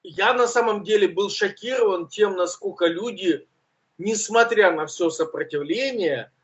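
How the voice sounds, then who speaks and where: A man with a high voice and a rolled, French-sounding R chats through the week's news as co-host. He is loose and self-mocking and says "uh" often. The sound is dull and lo-fi, like an online stream. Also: moderate pace, a bit bright. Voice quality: uvular R, burred. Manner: chatty, self-mocking, with fillers. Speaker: co-host, journalist. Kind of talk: casual news commentary chat.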